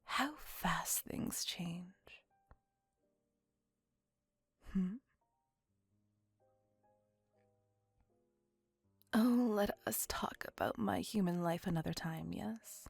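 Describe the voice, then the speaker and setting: A woman plays an ancient, courtly vampire lady in an intimate ASMR roleplay. Her voice is soft, breathy and edged with creak, half whispering. She takes her time over formal, old-world phrasing, refined and quietly possessive. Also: medium pitch, no room sound. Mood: charmed, gracious. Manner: formal, archaic, measured phrasing with tag questions. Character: elegant, courtly, possessive centuries-old female vampire on a date.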